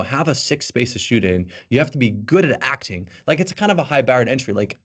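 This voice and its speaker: A man from Belgium with an American accent, chatting eagerly as a podcast guest and filling his talk with "like". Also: medium pitch. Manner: loose and eager, heavy on fillers like "like". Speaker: guest, tech content creator. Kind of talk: casual podcast chat.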